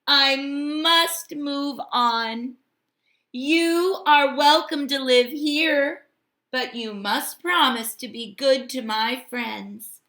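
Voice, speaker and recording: A woman voicing a friendly, eager male hermit crab from a storybook, with a polite, emphatic, drawn-out delivery. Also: high voice, sharp tone, full character voice. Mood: resolute, kind.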